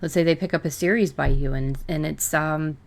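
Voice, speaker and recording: A woman with a somewhat low voice hosts a podcast. She speaks in a plain, conversational style. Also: fairly even intonation, a little fast, gravelly. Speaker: podcast host. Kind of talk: scripted introduction and casual co-host chat.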